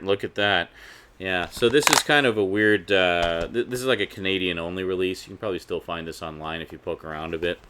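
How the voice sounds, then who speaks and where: Low-voiced man chatting casually. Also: sharp tone, a little hiss or hum. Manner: chatty, informal, conversational asides. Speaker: video host reviewing a DVD collection. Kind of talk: casual unscripted collector vlog.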